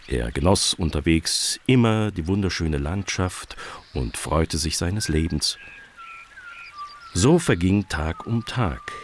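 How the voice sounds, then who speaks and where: A man's voice: deep voice, slight background noise. A man narrating a scripted children's story as the narrator of a radio play.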